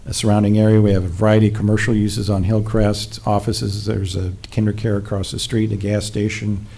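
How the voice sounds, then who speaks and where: A man on the city staff walks a zoning board through his report in a low, level voice with a dark, mellow tone. He sounds measured and procedural, pausing on small fillers as he goes. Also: little pitch movement, creaky. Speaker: city planning staff presenter. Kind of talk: reading a staff report at a public meeting. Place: city council chamber.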